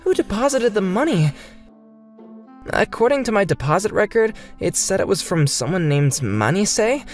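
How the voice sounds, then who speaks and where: A woman's voice: medium pitch, sing-song, normal speed, darkish tone, faint music behind, close to an everyday voice. A woman voicing an earnest, bewildered fifth-grade boy who narrates his own story in a manga dub. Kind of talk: scripted first-person narration in a manga dub.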